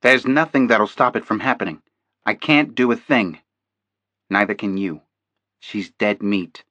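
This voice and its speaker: A man voicing a cold, heavy-set kidnapper's contact man who talks flatly and offhandedly about killing a girl. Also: mid-range voice, normal speed, dry recording, lo-fi sound, voice pushed up, close to an everyday voice. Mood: cold menace. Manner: flat, menacing, offhand.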